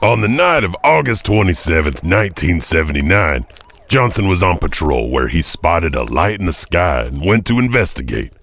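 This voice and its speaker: Very deep-voiced, animated male storyteller. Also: moderate pace, creaky. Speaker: podcast host and narrator. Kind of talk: scripted storytelling narration.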